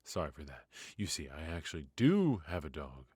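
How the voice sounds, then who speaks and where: A man acting a ruthless, clever mafia boss in a noir ASMR roleplay, husky, deliberate and mockingly polite in his menace. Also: rumbling low, big pitch swings, a little mellow, no room sound, studio quality, heavily acted. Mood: controlled menace.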